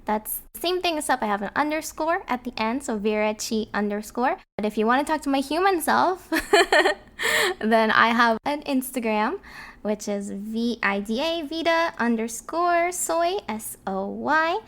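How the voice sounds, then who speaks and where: Very high-pitched woman in casual chat. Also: animated, a little slow, a bit bright. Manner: joking, informal. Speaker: voice actor and streamer, podcast guest.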